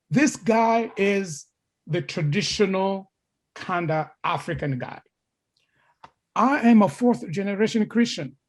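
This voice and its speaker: A middle-aged man from Congo giving a lecture as a story, repeating words and restarting sentences. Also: high voice.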